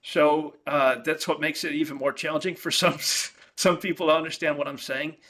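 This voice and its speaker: Bright-voiced New York professor, halting lecture. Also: male voice, a little high, little pitch movement. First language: Italian. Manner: halting, many fillers and restarts. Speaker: economics professor. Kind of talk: virtual classroom lecture. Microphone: video call.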